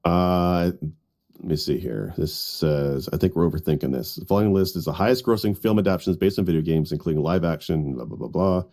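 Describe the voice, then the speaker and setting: A man's voice: deep voice, a little fast, darkish tone. A man co-hosting a podcast in a casual group conversation. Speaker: podcast co-host.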